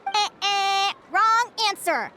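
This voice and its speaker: A woman's voice: extremely high pitch, expressive, slow, sharp tone, a little hiss or hum, exaggerated voice. A woman playing a cheerful young adventuring advisor who teaches in a bubbly, encouraging way, in an anime video game. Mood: playful teaching. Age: young adult. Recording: faint music under the voice.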